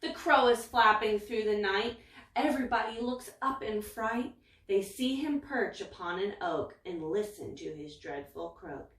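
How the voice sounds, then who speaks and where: A woman's voice: mid-range voice, a little slow, a bit bright, far from the mic. A woman reading a rhyming picture book aloud in a dramatic, emphatic way. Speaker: story reader. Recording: echoey room, away from mic.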